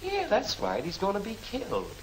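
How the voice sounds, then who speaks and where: A man's voice: sits high, huge pitch swings, moderate pace. An actor playing a cocky gangster henchman in an old film serial, with slangy, chummy tough talk that carries menace. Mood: gloating. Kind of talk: 1940s film serial acting. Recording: noisy old film soundtrack.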